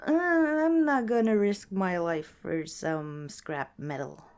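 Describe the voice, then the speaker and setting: Woman acting as a young scavenger girl in a wasteland asmr roleplay, soft mellow voice, unhurried, careful and kind. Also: mid-range voice, smooth. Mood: wry, musing. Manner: soft, hesitant, conversational, with sighs and small laughs. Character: kind, cautious young woman scavenger in a post-apocalyptic world. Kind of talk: ASMR roleplay, spoken to the listener.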